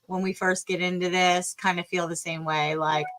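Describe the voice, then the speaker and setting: A woman's voice: lowish voice, level tone, normal speed, fairly bright tone. A woman hosting a podcast, chatting casually and encouragingly with her guest. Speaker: podcast host. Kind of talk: casual conversation.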